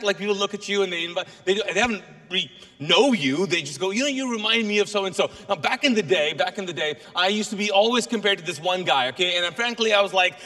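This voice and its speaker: A middle-aged man preaching to a congregation, his first language Urdu. His voice sits high and bright. It rings with a long reverb from a large church auditorium. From Kuwait. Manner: lively, joking with the congregation. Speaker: guest pastor. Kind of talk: sermon.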